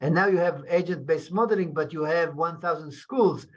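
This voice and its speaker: Hesitant man on a lo-fi call. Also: on the high side. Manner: halting, many restarts and fillers. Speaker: seminar attendee, experimental researcher. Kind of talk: academic discussion.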